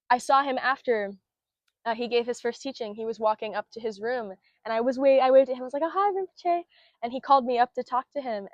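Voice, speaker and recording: A young woman in her twenties from Florida tells her story in an earnest, casual way. She pauses on fillers and laughs lightly between thoughts. The sound is a little muffled and very dry. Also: a little high, no echo. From Florida, United States. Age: 20s. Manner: earnest, lots of fillers and laughs, acts out quotes. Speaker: interviewee, Buddhist student. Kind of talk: casual spoken interview.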